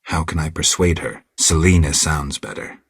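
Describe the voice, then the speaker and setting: An actor playing a gruff, stoic, world-weary monster hunter in a video game, speaking tersely and deadpan in a gravelly, husky voice. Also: male voice, a bass voice, normal speed, dry recording, clean studio sound, exaggerated voice. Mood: serious, businesslike.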